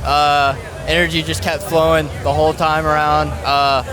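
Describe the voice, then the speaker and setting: Rambling fan, flat voice. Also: male voice, on the high side, normal speed, crowd noise. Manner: rambling, filler-heavy. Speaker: fan at a show. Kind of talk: street vox pop.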